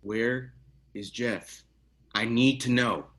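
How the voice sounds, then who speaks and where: A man playing a grave, haunted police detective in a screenplay table read, serious and measured. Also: medium pitch, fairly even intonation, fairly bright tone. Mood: intent, urgent.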